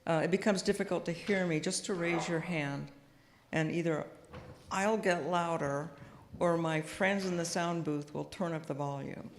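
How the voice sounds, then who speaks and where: A soft-spoken woman minister giving a gentle, thoughtful sermon, pausing often with small fillers, speaking into a microphone in a church sanctuary. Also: on the low side, noisy background. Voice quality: quiet voice. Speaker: guest minister. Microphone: house sound system microphone. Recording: long hall reverb.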